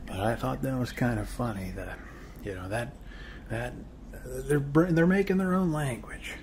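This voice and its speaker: A man playing a friendly, rambling middle-aged suburban neighbor in an ASMR role-play, with an American accent and a soft, hesitant, folksy delivery. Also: mid-range voice, normal speed, natural voice. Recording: faint steady background noise.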